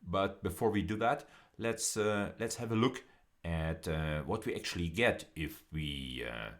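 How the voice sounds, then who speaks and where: A man with an extremely deep voice delivers a university video lecture. He explains step by step in a deliberate, somewhat halting manner, pausing and leaning on key words. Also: expressive.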